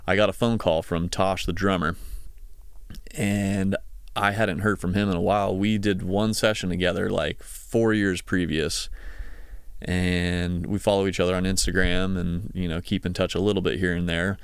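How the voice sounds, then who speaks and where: Man, thirties, casual podcast host. Clear, resonant voice, conversational and rambling, recorded dry and close. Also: low voice, fairly even intonation, moderate pace, no room sound. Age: 30s. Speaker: podcast host, session guitarist. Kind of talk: casual solo podcast monologue.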